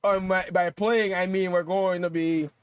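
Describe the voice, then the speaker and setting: A sniffly young man from Trinidad and Tobago runs his own gaming livestream, chatting casually into a desk mic. His voice sits high for a man, and he rambles and hums to himself while getting set up. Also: flat delivery, unhurried, clean tone. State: sniffly from dust.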